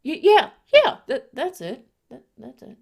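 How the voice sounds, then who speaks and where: A woman's voice: very high voice, huge pitch swings, a little slow, vocal fry, heavily acted. A woman voicing an eager, helpful young boy creature with an earnest manner in a cartoon audio drama. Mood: bashful, flustered. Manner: earnest, stammers when flustered. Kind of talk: animated fan audio drama.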